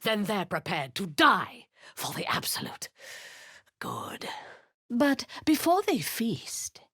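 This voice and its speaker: Husky drow warlady from a game, cruel and bossy, slow, dry close mic. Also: medium pitch, big pitch swings, bright, forceful tone, no room sound, exaggerated voice. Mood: commanding. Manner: commanding, menacing, drops to a husky whisper. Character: cold, imperious female drow warlord, cruel and commanding. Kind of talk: video game voice acting.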